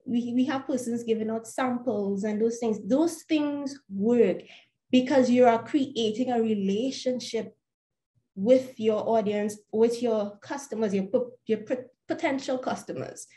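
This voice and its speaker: A young woman with a Trinidadian accent, answering earnestly as a webinar panelist, measured and repeating words as she searches for them. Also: a little high, mellow. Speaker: panelist, startup founder. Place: home room, plain wall. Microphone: laptop webcam video call. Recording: roomy echoey home room.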